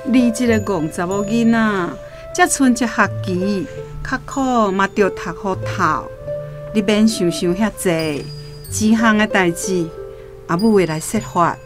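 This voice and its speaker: A woman playing a caring but firm village mother in a radio drama, with a Taiwanese accent. She speaks very slowly at a middle pitch, with clear music behind her. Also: close to an everyday voice.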